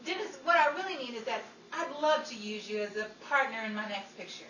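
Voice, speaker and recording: A woman playing a coy, sharp-tongued 1950s nightclub singer in old-time radio style, with teasing, dry comebacks. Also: on the high side, animated, brisk. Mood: gushing. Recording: roomy, distant, noisy with background chatter.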